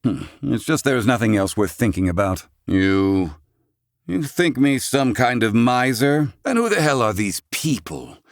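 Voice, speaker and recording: An actor playing a proud, gruff, excitable older scholar-inventor in a video game, speaking in formal, archaic, theatrical phrasing. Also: male voice, medium pitch, unhurried, no echo, clean studio sound. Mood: embarrassed, indignant. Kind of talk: video game character acting.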